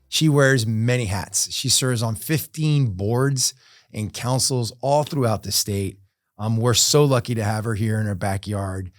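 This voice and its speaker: A man's voice: medium pitch, no room sound, clean studio sound. Upbeat male podcast host. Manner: upbeat, encouraging interviewer. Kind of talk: podcast interview.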